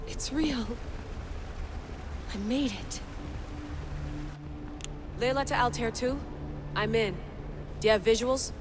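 A woman's voice: fairly high pitch, slow, long pauses, background noise. An actress playing a bold, self-assured young female assassin and tech-savvy explorer in a video game cutscene, with a wry, focused manner. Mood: awed.

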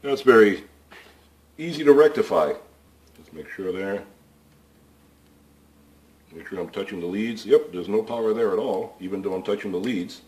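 Relaxed man talking through a repair. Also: fairly low pitch, moderate pace, pauses often. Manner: relaxed, thinking aloud with fillers. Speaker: DIY repair channel host. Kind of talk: casual hands-on repair tutorial. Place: indoor workshop. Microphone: camera overhead of workbench, voice off-screen.

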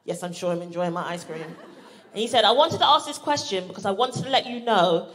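A woman's voice: medium pitch, brisk. A woman from Barbados with a British accent performing an exclamatory, sweary stand-up routine to a live audience. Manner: animated, exclamatory, sweary, acts out reactions. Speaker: stand-up comedian. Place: live show on stage in a venue. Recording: live comedy venue with audience noise. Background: audience laughter and room ambience.

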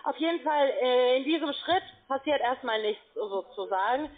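Woman, call centre agent, very high voice, halting and flustered explanations, tinny narrow phone audio. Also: lively intonation. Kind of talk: phone sales support call.

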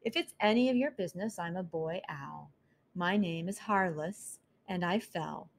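A woman's voice: lowish voice, normal speed, creaky, slight background noise. A woman voicing a young, cranky, hungry boy owlet who whines and complains.

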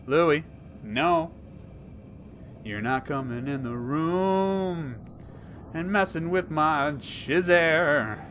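A man in his thirties commenting on a horror game he plays, rambling and jokey, swinging from mumbles to yells. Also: mid-range voice, slight background noise. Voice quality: hoarse at times. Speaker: gaming YouTuber. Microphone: headset.

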